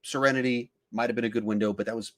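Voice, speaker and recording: A man hosting a podcast in casual discussion, acting out his reactions in animated asides. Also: mid-range voice, racing. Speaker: podcast host.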